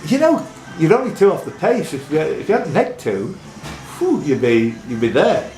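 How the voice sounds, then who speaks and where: A man's voice: fairly high pitch, wildly swooping pitch, moderate pace, a little mellow. Man hosting a pub quiz, echoey room. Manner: chatty, teasing banter with contestants. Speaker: quiz host. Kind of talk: live pub quiz hosting, reading questions. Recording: roomy echo, music in background.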